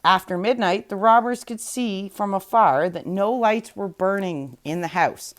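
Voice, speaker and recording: A woman storyteller narrating a fairy tale aloud in an expressive read-aloud style. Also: medium pitch, unhurried.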